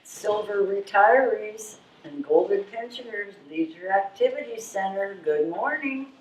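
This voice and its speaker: A woman's voice: medium pitch, lively intonation, a little slow, a little hiss or hum, lightly acted. A woman playing a relentlessly cheerful, oblivious senior center receptionist with gushing delivery in a readers theatre show. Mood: chirpy. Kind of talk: readers theatre stage performance. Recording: echoey hall, distant microphone.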